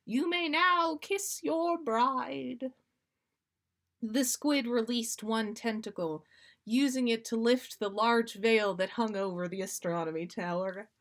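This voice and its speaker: A woman narrating a story aloud as a podcast host, with dramatic, playful expression. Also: a little high. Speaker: podcast host reading listener stories.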